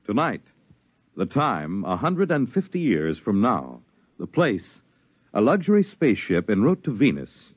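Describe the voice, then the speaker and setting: Male 1950s announcer, low-pitched, portentous, muffled vintage recording, dramatic delivery. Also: huge pitch swings, a little slow, a little hiss or hum. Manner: grand, portentous. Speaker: radio announcer. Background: dramatic music.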